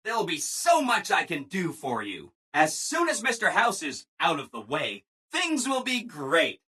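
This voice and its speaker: Game robot assistant: bright, very animated, ingratiating, relentlessly upbeat people-pleaser. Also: male voice, fairly high pitch, a little slow, husky, exaggerated voice. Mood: chipper, helpful. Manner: chipper, ingratiating, emphatic, apologetic people-pleaser. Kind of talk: scripted video game dialogue.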